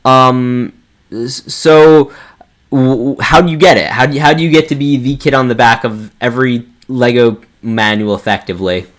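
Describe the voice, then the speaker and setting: A man hosting a fan interview show, speaking with excitable enthusiasm and often stammering over his word starts. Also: mid-range voice, moderate pace. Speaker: host of a toy fan video show. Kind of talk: enthusiastic interview and scripted introduction.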